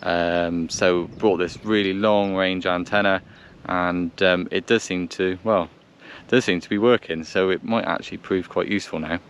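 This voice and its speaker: Male vlog presenter, low voice, casual and hesitant, faint vehicle noise behind him outdoors. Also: fairly even intonation, normal speed. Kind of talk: casual to-camera commentary and radio check chat. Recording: noisy outdoor vehicle background.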